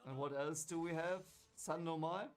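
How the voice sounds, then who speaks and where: Male gamer, slightly high voice, excitable off-the-cuff play commentary with repeated words and mock threats. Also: moderate pace, faint music behind. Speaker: gaming video creator. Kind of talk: casual reactive gameplay commentary.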